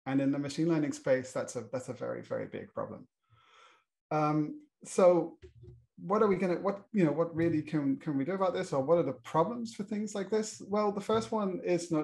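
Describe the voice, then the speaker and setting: British man moderating a panel, halting. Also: on the high side, a little mellow. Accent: British English. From Britain. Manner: hesitant, many restarts and fillers. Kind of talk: presenting a panel introduction.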